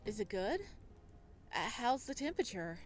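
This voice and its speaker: A woman voicing a reticent, nervous man in a visual novel, speaking haltingly. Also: mid-range voice, big pitch swings, slight background noise, close to an everyday voice. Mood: quietly pleased. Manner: hesitant, halting. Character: reticent, nervous young man hosting at a snowbound cabin.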